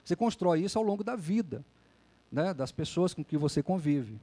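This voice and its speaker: A male lawyer delivers a public talk in a relaxed, conversational way, often checking in with his listeners through short tag questions. His voice sits a little high and has a soft, mellow tone. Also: brisk. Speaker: lawyer and lecturer. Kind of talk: public lecture.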